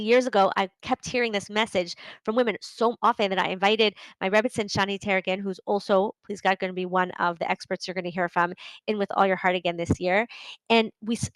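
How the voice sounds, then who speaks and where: A woman's voice: medium pitch, dry recording, poor audio. A middle-aged woman hosting a solo podcast, talking earnestly and conversationally, often checking in with "right?". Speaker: podcast host and coach.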